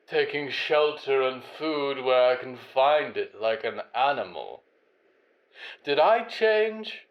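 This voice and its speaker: A man's voice: mid-range voice, muffled recording. Man playing a vain, rambling fallen diva. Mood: wounded pride. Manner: theatrical, rambling, self-dramatizing.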